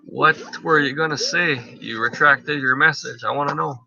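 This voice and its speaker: A man's voice: a little high, normal speed, gravelly, muffled recording, a crowd in the background. A man whose first language is French, chatting casually and rambling with viewers during a live stream from a tent. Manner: rambling, many fillers and restarts. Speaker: channel host. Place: inside a tent.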